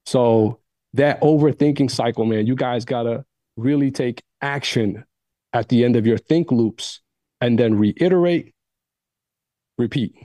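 A man's voice: mid-range voice, a little slow, mellow, no echo. Emphatic man, native Arabic speaker. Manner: emphatic, repeats phrases for stress. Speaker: podcast guest. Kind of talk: motivational talk.

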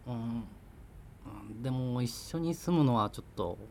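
A man's voice: medium pitch, lively intonation, measured pace, slight background noise, close to an everyday voice. An actor playing an evasive, argumentative boyfriend in his thirties in naturalistic radio drama dialogue, rambling as he justifies himself. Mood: hesitant, reluctant. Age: 30s. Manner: defensive, rambling self-justification. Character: evasive, argumentative thirty-year-old freelancer boyfriend living with parents.

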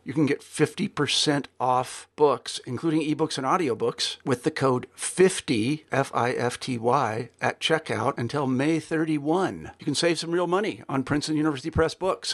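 A man's voice: mid-range voice, no room sound. Man for a podcast promo, mid-range voice, recorded dry with no room sound. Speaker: podcast network editor, announcer. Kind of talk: promotional announcement.

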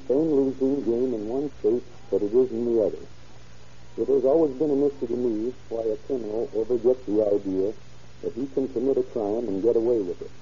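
A man's voice: mid-range voice, warm tone. A man giving a formal, scripted address as a guest sheriff on an old radio program. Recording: dull, muffled, hissy 1930s radio recording.

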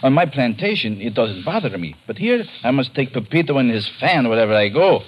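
An actor playing a fat, indolent plantation owner in an old radio drama, speaking with florid courtesy and a bossy edge. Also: male voice, medium pitch, very animated, a little fast, highs cut off, natural voice. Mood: grumbling, imperious. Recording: noisy vintage radio recording.

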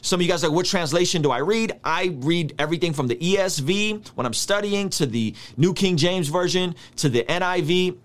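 A man in his thirties, a native Russian speaker, giving an energetic, slangy Bible study lesson. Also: high voice, fairly quick, hardly any pauses. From United States. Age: 30s. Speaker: Bible teacher. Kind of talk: casual video teaching.

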